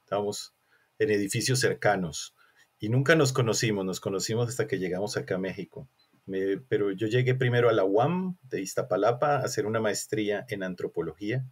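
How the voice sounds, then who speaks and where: A man's voice: lowish voice, brisk, breathy edge. A man from Colombia, an academic giving conversational, discursive interview answers and often rephrasing himself. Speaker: academic researcher, interview guest.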